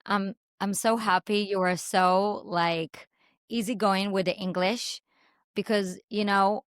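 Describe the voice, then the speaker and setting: A woman's voice: medium pitch, steady tone, takes it slowly, clean tone, no echo, clean studio sound. A woman whose first language is Hebrew, hosting a podcast interview over a video call, speaking enthusiastically with lots of fillers. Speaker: podcast host. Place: home in Dallas.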